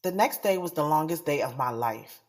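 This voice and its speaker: A woman's voice: low-pitched, animated, moderate pace, soft background music. A woman playing a frank, sassy young woman in an audio drama, candid and slangy as she tells of her love life. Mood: confessional, frank. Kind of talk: first-person audio drama narration and dialogue.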